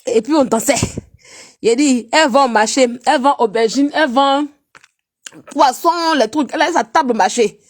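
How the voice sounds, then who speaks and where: Woman with a cold, chatting on her live stream, kinda high voice, loud one sec then mumbly. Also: normal speed. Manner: animated, jumps between loud teasing and low murmurs. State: stuffy, has a cold. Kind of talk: casual live-stream chat.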